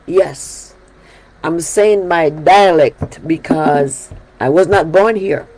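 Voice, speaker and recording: A woman's voice: sits low, slow pace, dark tone, a little hiss or hum, low-quality recording. A woman from Jamaica with a Jamaican accent, preaching a sermon on her prayer broadcast in an emphatic style that repeats key phrases. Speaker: pastor leading a prayer broadcast.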